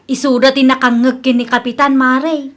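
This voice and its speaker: A woman's voice: high voice, flat delivery, moderate pace, natural voice. A woman playing an aggrieved, gossipy friend in a radio drama, speaking in an emphatic, complaining way. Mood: aggrieved gossiping. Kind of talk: Ilocano radio drama acting.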